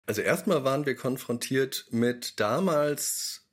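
A man's voice: medium pitch, a little slow. A man giving a reflective, informal interview statement about his process as an author. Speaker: radio play author explaining his process.

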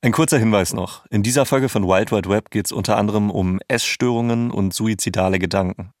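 A man's voice: fairly low pitch, fairly quick, no room sound, studio quality. A man co-hosting a podcast, reading scripted narration. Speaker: podcast co-host. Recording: music playing behind the voice. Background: music.

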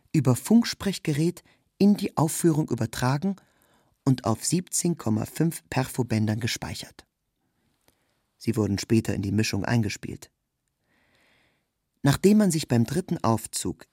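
A man's voice: mid-range voice, dark tone, breathy edge, dry recording. Man reading formal prose, dry close recording. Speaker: narrator, reader. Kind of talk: scripted literary narration.

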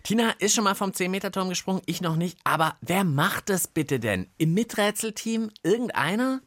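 Male podcast host, very animated intonation, playful, inquisitive, dry close sound, children's science show. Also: high-pitched, moderate pace, dry recording. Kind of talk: lively scripted banter for a children's science podcast.

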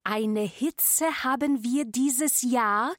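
An actress playing a chatty provincial occupational therapist in a radio drama, speaking with emphatic distinctness as if to a foreigner. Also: female voice, a little high, drawn-out words, no room sound, clean studio sound. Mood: chatty, patronising.

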